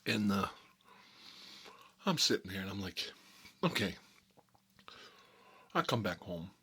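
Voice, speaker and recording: A man's voice: deep voice, sing-song, slow, sharp tone, breathy edge, heavily acted. A man playing a chatty, boastful American mob boss who rambles and repeats himself in a casual ASMR role-play monologue. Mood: confiding. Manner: folksy, repetitive, rambling, emphatic. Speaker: ASMR storyteller playing a recurring character.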